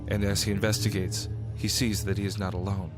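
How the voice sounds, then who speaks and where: Low-voiced man narrating a radio drama. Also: flat delivery, brisk, loud music behind the voice.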